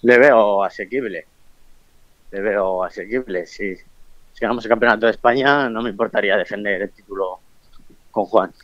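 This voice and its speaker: A man's voice: lowish voice, moderate pace, pauses often, a bit bright, no room sound, dull audio. A man in his twenties from Colombia, speaking with a Bilbao accent, a professional boxer giving short, shy answers in an interview. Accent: Bilbao Spanish. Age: 20s.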